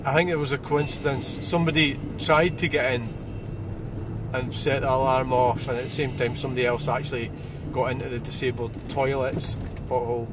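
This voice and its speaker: A man's voice: medium pitch, steady tone, brisk, background noise. A man, chatting and ranting while he drives, swearing freely. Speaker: driving vlogger. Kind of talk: casual driving chatter. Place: inside a car on the road.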